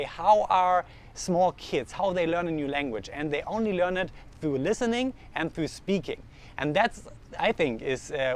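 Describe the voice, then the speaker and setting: A young man with a German accent, a native German speaker, vlogging to camera in earnest casual talk with frequent restarts as he thinks aloud, recorded outdoors on a street. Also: a little high. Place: outdoors in the sun, urban street. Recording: faint outdoor street noise.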